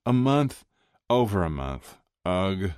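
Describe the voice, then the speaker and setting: Audiobook friend role, male narrator acting: outspoken teasing woman, exasperated, raised pitch, dry sound. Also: big pitch swings, normal speed, dark tone, breathy edge, no room sound, heavily acted.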